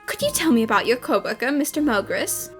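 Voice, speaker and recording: A woman playing a curious, eager young female detective in an amateur anime fan dub, perky and expressive. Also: on the high side, brisk, soft background music, natural voice.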